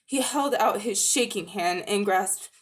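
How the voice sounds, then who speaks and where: A woman reading a children's story aloud in an expressive, dramatic narrating style, with the odd stumble. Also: mid-range voice, a little slow. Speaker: amateur audiobook reader.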